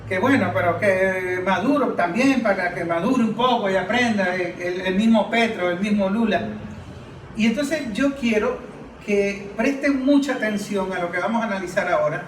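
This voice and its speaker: A man with a notably high voice and a Venezuelan accent leads a political discussion. He argues emphatically and poses rhetorical questions. The sound is roomy, picked up at some distance from the microphone. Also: moderate pace. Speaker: forum podcast moderator and political analyst. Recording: roomy, distant mic, faint noise.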